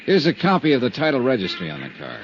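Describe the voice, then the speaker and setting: An actor playing a cool, persistent insurance investigator who narrates dryly in a 1950s radio detective drama. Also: male voice, mid-range voice, wildly swooping pitch, fast, slight background noise. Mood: dry, probing. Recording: dull 1950s radio recording, music.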